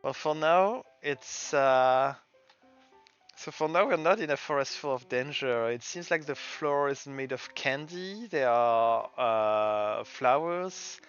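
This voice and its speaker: A man's voice: mid-range voice, lively intonation, slow, a bit bright, clean tone, dull audio, soft background music. A man giving casual, hesitant gameplay commentary, thinking aloud and sometimes reading text out. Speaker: game streamer and the game's creator.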